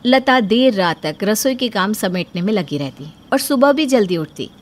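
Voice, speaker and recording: A woman's voice: mid-range voice, animated, moderate pace. A woman reading scripted narration for a moral story as its storyteller.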